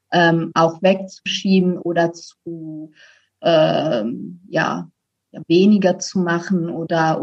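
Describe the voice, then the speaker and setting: Dull-sounding recording of a woman guest. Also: on the low side. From Italy. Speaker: podcast guest, voice trainer. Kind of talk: reflective conversational interview.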